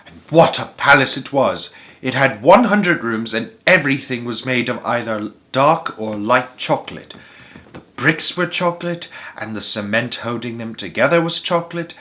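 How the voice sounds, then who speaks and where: A man voicing an old, excitable grandfather who tells tales with dramatic, emphatic delivery in a storybook reading. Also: medium pitch, natural voice. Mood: awed, excited. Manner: emphatic, dramatic, savouring pauses.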